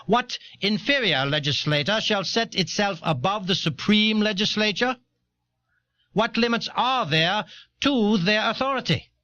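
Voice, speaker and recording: A man's voice: sits high, sharp tone, no echo, full character voice. A man playing an alarmed, insistent early American critic of government, delivering impassioned rhetoric on an old cassette. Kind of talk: dramatized reading of a historical polemic. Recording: dull, dry old audio cassette.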